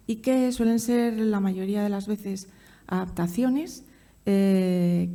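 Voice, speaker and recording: Female academic presenter, gravelly and very mellow, middle pitch, hesitant explanatory delivery. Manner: halting, searching for words. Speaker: university professor, conference speaker. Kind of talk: academic presentation, loosely scripted.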